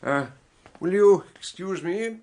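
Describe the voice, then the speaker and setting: A man acting a shrewd, genial gentleman detective with a British accent in a radio drama, musing and courteous, a little theatrical. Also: high-pitched, slow. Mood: courteous, sly.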